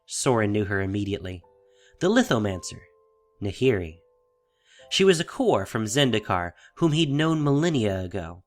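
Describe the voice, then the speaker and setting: Expressive male fantasy narrator over music. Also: lowish voice, moderate pace. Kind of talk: dramatic scripted fantasy narration.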